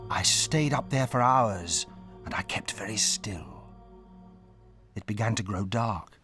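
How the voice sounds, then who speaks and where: A man playing the narrator of a dramatized audiobook, telling a boyhood memory with hushed suspense over music. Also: fairly low pitch, slow pace. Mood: suspenseful. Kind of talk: scripted storybook narration. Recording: faint music behind.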